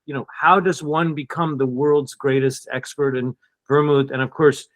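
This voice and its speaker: A man in his fifties hosting an interview over a video call, introducing his guest in an excited, emphatic way. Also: mid-range voice, moderate pace. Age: 50s. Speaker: host.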